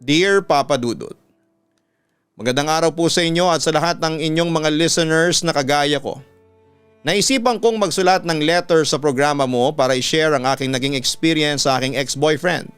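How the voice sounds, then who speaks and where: A Filipino man, a radio announcer, narrating a listener's letter as a scripted story in a smooth, clear voice. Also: on the high side, moderate pace, a bit bright, dry recording. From Philippines. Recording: music behind the voice. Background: background music.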